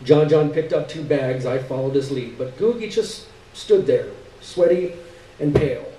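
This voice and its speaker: A man giving an expressive live reading of a literary story, with dramatic, halting pauses. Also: a little high, a little hiss or hum. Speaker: story reader. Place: live stage reading indoors. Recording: roomy, distant stage recording.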